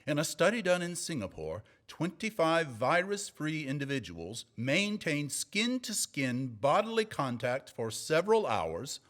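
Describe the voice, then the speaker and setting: A man playing an earnest, reassuring TV news anchor with measured, deliberate delivery in a comedy sketch. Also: a little high. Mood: newsreader formality. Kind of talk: comedy sketch acting, mock newscast.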